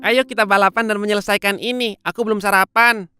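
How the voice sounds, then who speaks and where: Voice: mid-range voice, expressive, fairly quick, bright, forceful tone, soft background music. An actor voicing a boastful, smug hare in a children's cartoon fable, with a swaggering, mocking manner. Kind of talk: children's animated fable dialogue.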